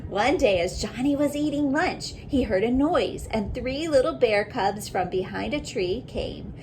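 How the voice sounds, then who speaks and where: Woman, slightly high voice, unhurried teacher read-aloud, reflective questioning asides, noticeable background noise. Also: expressive, a little mellow. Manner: deliberate, questioning, pausing to reflect. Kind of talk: children's picture book read-aloud with asides.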